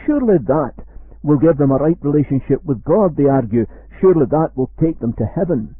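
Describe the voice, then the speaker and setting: A man with a Scottish accent, giving an earnest, measured radio sermon. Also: fairly high pitch, expressive, slightly rough, no room sound. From Scotland. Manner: measured, earnest preaching, slows for quoted verse. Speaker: preacher. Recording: dry, tinny phone-like radio sound.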